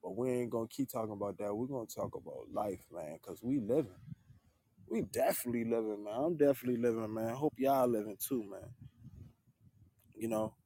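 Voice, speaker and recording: A man's voice: fairly low pitch, brisk, mellow, husky, dry recording. A man in his thirties chatting casually on a livestream, laid-back and earnest, often tagging "man" onto the end of his sentences. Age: 30s. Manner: laid-back, repeats "man" at line ends. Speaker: livestream host. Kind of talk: casual livestream chat with viewers.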